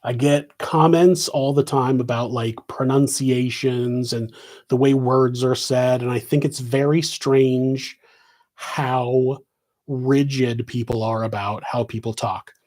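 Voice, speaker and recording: A man's voice: medium pitch, fairly even intonation, takes it slowly, a little mellow. A man with a West Coast American accent, hosting a live Q&A and answering in a casual, rambling way full of fillers and restarts. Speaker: podcast host answering questions.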